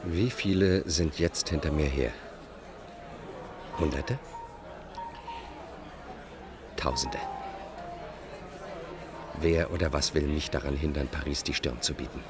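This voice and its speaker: An actor in a radio play voicing a defiant, brooding fugitive of about forty, in a deliberate, theatrical manner. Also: male voice, rumbling low, crowd noise. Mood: brooding defiance. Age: 40s. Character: defiant, self-absorbed forty-year-old male fugitive, bored no more. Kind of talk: radio drama acting, interior monologue and dialogue.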